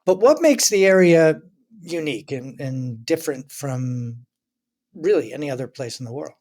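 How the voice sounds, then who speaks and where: A man's voice: on the high side, lively intonation, moderate pace, a little mellow. A man interviewing a guest on a podcast, asking curious, leading questions with occasional fillers.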